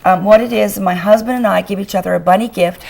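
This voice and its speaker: Canadian woman guest with a hoarse voice. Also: medium pitch, steady tone, quick pace, a little mellow. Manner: enthusiastic, chatty. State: losing her voice. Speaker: author guest. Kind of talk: talk show interview. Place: TV studio.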